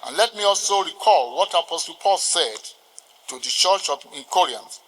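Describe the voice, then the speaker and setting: An older man with a Nigerian accent gives a formal ceremonial speech, exhorting his listeners deliberately with emphatic pauses. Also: fairly high pitch, animated, fairly bright tone. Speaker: senior lawyer addressing a ceremony. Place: large indoor courtroom. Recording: reverberant hall with long echo.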